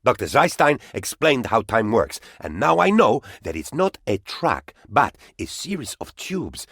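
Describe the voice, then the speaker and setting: Game role: pompous, lovesick, paranoid presidential sidekick. Lowish, very animated male voice, theatrical delivery, close dry recording. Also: measured pace, slightly rough, no echo, exaggerated voice. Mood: scheming, muddled. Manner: pompous, melodramatic. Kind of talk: theatrical video game character voice lines.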